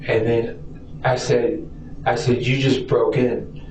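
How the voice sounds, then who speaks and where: Echoey, distant man, halting and rambling. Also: medium pitch, level tone, darkish tone. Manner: rambling, repetitive, halting with stammered restarts. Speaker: suspect being questioned by police. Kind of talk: police interrogation answers. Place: indoor police interview room. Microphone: distant room recording microphone. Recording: distant, echoey, muffled noisy interrogation recording.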